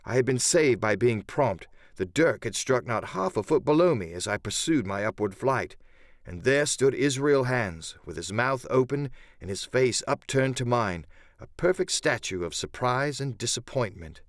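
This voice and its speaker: A man's voice: medium pitch, animated, moderate pace, no echo, studio quality. A man narrating an adventure audiobook in the first person, with theatrical, literary storytelling.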